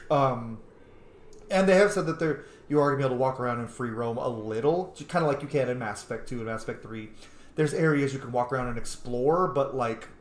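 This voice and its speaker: A man giving casual, enthusiastic, rambling commentary as he plays a game. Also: medium pitch. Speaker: gamer commentating on his playthrough. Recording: echoey room.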